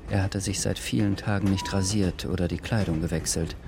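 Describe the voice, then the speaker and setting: A man narrating a literary radio drama from a script in a measured, sober manner. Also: low-pitched, fairly even intonation, vocal fry, background noise.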